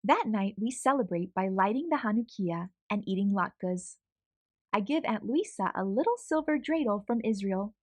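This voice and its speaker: A young adult woman reading a children's picture book aloud in an expressive, animated way. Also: medium pitch, dry recording. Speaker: storyteller.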